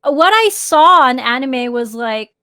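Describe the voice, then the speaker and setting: Woman from the Philippines, co-host, high and unhurried, chatty and giggly. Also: animated, pushed and bright, no room sound, studio quality. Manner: chatty, giggly, does silly sound effects. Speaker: podcast co-host. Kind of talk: casual live podcast chat.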